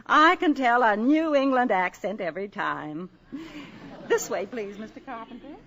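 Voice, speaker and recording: A woman's voice: fairly high pitch, lively intonation, normal speed, highs cut off, crowd noise. An actress playing a fussy, talkative landlady in a 1950s radio drama, flustered and chatty.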